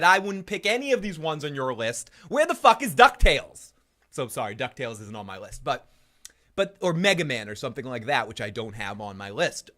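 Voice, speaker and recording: A middle-aged man with a Boston accent hosts a live podcast stream, talking in a rambling, profane way. His fairly high voice swings widely in pitch, jumping into sudden excited yelps. He sounds a little under the weather. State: sick. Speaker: podcast host. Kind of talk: casual live-stream chat.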